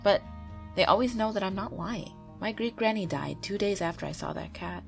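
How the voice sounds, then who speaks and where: A woman with a stuffy nose from a cold, gently and earnestly reading true ghost stories aloud as the narrator of her channel. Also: mid-range voice, normal speed, vocal fry. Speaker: YouTube ghost story narrator. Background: faint background music.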